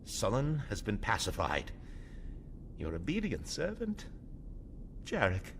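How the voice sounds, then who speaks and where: A man playing a cold, imperious villain commander with calm, deliberate menace in an audio drama. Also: lowish voice, a little hiss or hum, exaggerated voice.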